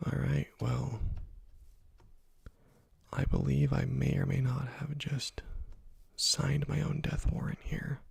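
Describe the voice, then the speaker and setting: A man giving soft-spoken ASMR gaming commentary in a hushed, breathy, partly whispered voice, casual and musing, close to the microphone. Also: medium pitch, little pitch movement, slow, pauses often, no room sound. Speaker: gaming video host.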